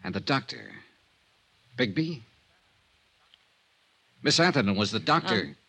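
An actor playing a cool, wry American investigator in a 1950s radio detective serial, understated and conversational. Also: male voice, mid-range voice, expressive, measured pace, vocal fry, a bit bright, husky, exaggerated voice. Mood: probing, measured. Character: cool, wry hard-boiled male investigator.

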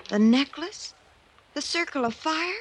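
An actress playing a sincere, emotional young woman in an old-time radio mystery, speaking in a halting, earnest way. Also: sits high, expressive. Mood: stunned. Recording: old radio drama recording.

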